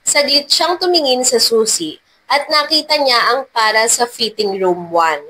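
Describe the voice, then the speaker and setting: A woman's voice: on the high side, fairly bright tone, a little hiss or hum, poor audio. A woman narrating a scripted love story as a storyteller.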